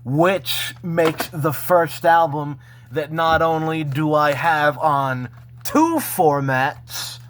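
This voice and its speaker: Male vlogger, casual show-and-tell, unhurried and halting, with some background noise. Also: fairly high pitch, fairly bright tone. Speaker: vlogger showing a personal collection.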